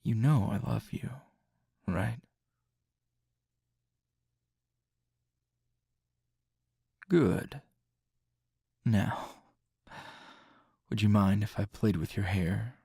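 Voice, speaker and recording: A man playing a tender, teasing hero boyfriend in an intimate ASMR roleplay, soft-spoken with a husky, breathy voice and unhurried pauses. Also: on the low side, dry recording, clean studio sound, exaggerated voice. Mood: tender, reassuring. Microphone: close, dry microphone.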